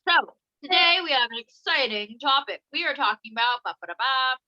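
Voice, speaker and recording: A young adult host running a playful, casual home interview with silly sing-song asides. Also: female voice, high-pitched, expressive, brisk, pushed and bright, muffled recording. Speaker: podcast host.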